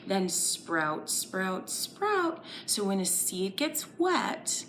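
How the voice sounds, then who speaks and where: A woman's voice: medium pitch, big pitch swings, very slow, fairly bright tone, slight background noise. A woman leading a children's story time lesson, playful and exaggerated, encouraging little listeners with questions and sing-along phrases.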